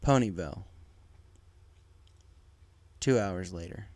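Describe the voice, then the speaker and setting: A man's voice: deep voice, slow, a little mellow, no room sound. A man reading scripted story narration as the narrator, close to the microphone. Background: music.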